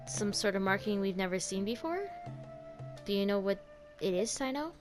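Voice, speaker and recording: A woman's voice: medium pitch, expressive, moderate pace. A woman streamer giving casual reaction commentary while playing a game. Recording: loud game music behind the voice.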